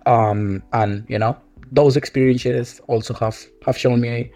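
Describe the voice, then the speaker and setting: A man's voice: medium pitch, steady tone, unhurried, darkish tone, soft background music. A man speaking as a panel guest, hesitant and often repeating words as he gathers his thoughts. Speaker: guest, software engineering manager. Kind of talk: casual panel discussion.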